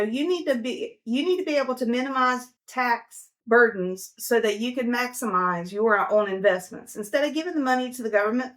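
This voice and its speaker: A woman's voice: fairly high pitch, fairly bright tone. A woman in her forties giving casual, down-to-earth money advice, chatty and full of fillers. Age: 40s. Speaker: personal finance host. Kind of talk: casual advice talk to camera.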